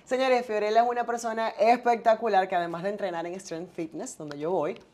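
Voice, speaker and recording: A woman's voice: medium pitch, steady tone, brisk, a little mellow. A woman from Venezuela in her thirties, talking as a talk show host and presenter. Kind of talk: presenter talk, promos and casual interviewing.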